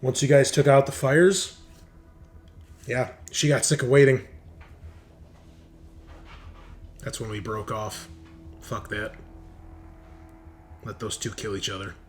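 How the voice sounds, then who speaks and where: A man's voice: medium pitch, steady tone, a little slow, long pauses, soft background music, natural voice. A man playing a blunt, cynical hardened criminal leader in a tabletop roleplaying game. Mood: cynical, dismissive. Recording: noticeable background noise.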